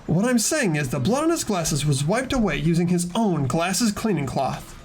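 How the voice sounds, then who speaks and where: An earnest teenage student detective from a video game, acted out by a fan performer. The voice swings lively in pitch while deliberately explaining evidence, with music playing underneath. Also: lightly acted. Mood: earnest, insistent. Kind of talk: scripted game dialogue read aloud by fans. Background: background music.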